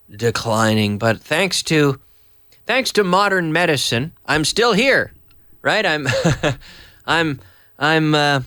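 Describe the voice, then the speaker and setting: A man with a cold and a hoarse voice, teaching English live to viewers in a chatty, slow, explaining way. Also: mid-range voice, a bit bright, no echo, clean studio sound. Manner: chatty, reads viewer messages aloud and explains slowly. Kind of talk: live online English lesson.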